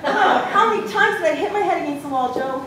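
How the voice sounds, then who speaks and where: A woman's voice: animated, quick pace, sharp tone, exaggerated voice. A woman playing a strict, exasperated schoolteacher in an amateur readers' theatre piece, firm and corrective. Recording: distant, very echoey room, noisy with rumble.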